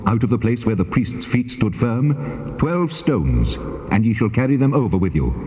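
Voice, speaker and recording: An actor with a British accent playing a solemn almighty God, commanding in measured, majestic tones with heavy reverb. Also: male voice, mid-range voice, big pitch swings, dark tone. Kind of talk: dramatized Bible reading, formal declamation. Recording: reverberant, dull old cassette recording.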